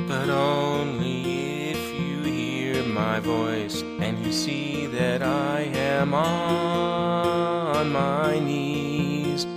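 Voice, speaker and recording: A woman playing a tender, devoted colonel in a musical fan audio drama, pleading in song and speech. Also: sits low. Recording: loud guitar music under the voice.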